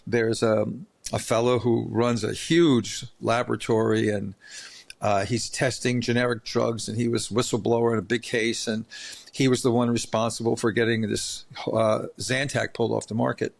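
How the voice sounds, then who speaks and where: A man's voice: mid-range voice, little pitch movement, moderate pace, creaky. A man hosting an interview podcast, telling rambling stories in a chatty way with many restarts. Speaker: podcast host. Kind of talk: casual interview chat.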